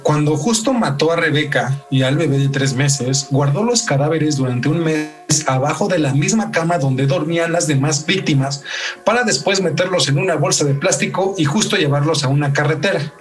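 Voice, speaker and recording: A man's voice: a little high, moderate pace, distant mic, soft background music. A man with a Mexican accent hosting a true crime podcast, moving between telling the case and casual talk. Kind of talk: true crime narration mixed with casual chat.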